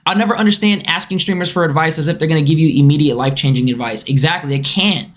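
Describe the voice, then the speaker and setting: Young man streamer, high boyish voice, animated reaction talk. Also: racing. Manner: animated, swearing, lecturing. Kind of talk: casual live-stream reaction.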